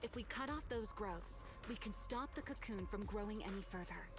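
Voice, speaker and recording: An actress playing a composed, confident mission partner in an anime action game, speaking in a calm, commanding way. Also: female voice, mid-range voice, normal speed, lightly acted. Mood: composed, explaining. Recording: loud game music behind.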